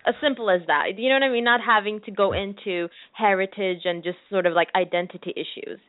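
A woman's voice: mid-range voice, brisk, no room sound, phone-quality sound. A young woman from Sweden speaking fluent English as an interview guest, reflective and often searching for her words.

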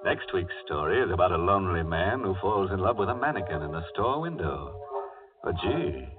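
Sardonic ghoulish radio horror host, theatrical swoops. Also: male voice, low-pitched, lively intonation, recorded from a distance. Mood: macabre glee. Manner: mocking, tongue-in-cheek, drawn-out sinister delivery. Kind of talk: 1940s radio horror anthology hosting. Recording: scratchy, tinny 1940s radio broadcast, music.

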